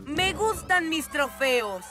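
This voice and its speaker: A woman's voice: high voice, big pitch swings, slow, exaggerated voice. A woman voicing a scheming boy mad scientist in a children's cartoon, with a Latin American accent and gloating, theatrical taunts. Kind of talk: animated children's cartoon dubbing. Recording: music behind the voice.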